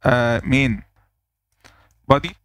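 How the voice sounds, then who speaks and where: A man with an Egyptian Arabic accent walking through a software tutorial step by step, pausing on fillers as he works. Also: mid-range voice, steady tone, dry recording. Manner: explains step by step, thinking aloud with fillers. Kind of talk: screen-recorded software tutorial.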